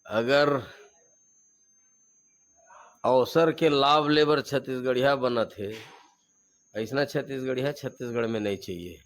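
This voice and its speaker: A man's voice: medium pitch, slow pace, long pauses, poor audio. A man with a Chhattisgarhi accent, a regional activist giving fiery, emphatic interview answers and repeating slogans.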